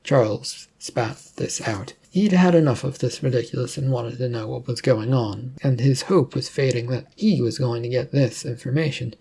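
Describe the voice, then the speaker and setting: A man's voice: mid-range voice, moderate pace, creaky, hardly any pauses, mellow, breathy edge. A man narrating a fictional story as a storyteller, reading in an informal way.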